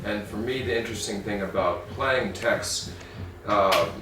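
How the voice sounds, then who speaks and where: Man speaking slowly in a distant, echoey room. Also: fairly low pitch, noisy background. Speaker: actor. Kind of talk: making-of interview.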